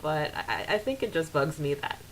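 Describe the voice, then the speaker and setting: A woman co-hosting a podcast, chatting casually in a giggly, animated way as she discusses a story and reads out bits of it. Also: sits low, normal speed. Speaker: podcast co-host. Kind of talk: casual fan discussion with read-out quotes. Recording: hissy background noise.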